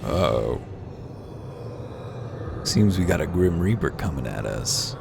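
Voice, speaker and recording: This is a man whose voice sits extremely low, with a gravelly fry. He commentates a game with a wry, offhand tone and reads its text aloud, his pitch swinging animatedly. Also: big pitch swings, takes it slowly, background noise. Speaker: let's play host. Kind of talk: casual gameplay commentary. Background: faint game music.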